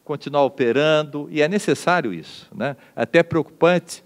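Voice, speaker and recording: A middle-aged Brazilian man giving a conference lecture at a podium microphone in an auditorium, measured and dotted with fillers and tag questions. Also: fairly high pitch. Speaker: lecturer at a medical forum.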